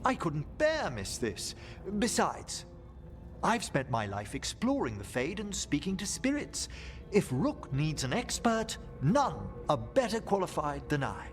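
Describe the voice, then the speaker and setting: Animated, genteel necromancer professor. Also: male voice, a little high, unhurried, soft background music. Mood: eager, proud. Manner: formal, theatrical, polite. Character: genteel male necromancer professor, eager, erudite, courteous. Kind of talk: dramatic video game acting.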